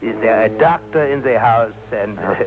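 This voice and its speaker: Genial, measured male psychiatrist played by an actor, vintage radio drama, lowish voice, dull tape with faint noise. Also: lively intonation, natural voice. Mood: composed. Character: genial, composed celebrated psychiatrist, ship's stand-in doctor. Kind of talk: 1940s radio mystery drama acting.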